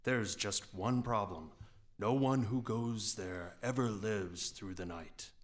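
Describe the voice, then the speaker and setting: A man's voice: lowish voice, slow pace. An actor playing a pompous king who issues grand commands in a comic radio play. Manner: pompous, declamatory.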